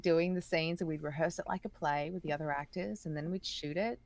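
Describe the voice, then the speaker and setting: A woman with an Australian accent, a guest chatting enthusiastically in an interview and sometimes pausing to search for words. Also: low voice, creaky, darkish tone. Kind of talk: casual interview chat.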